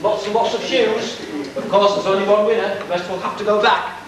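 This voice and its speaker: Pantomime royal judge, played by a man, very high pitch, amateur stage projection, heard far from the microphone. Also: steady tone, fairly quick, crowd noise, lightly acted. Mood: stately. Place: church hall stage, recorded from afar. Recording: echoey hall, distant camcorder.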